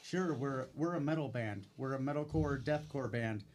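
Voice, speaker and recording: Man, deep voice, band member, joking, faint ambient noise. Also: normal speed, darkish tone. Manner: joking, self-mocking.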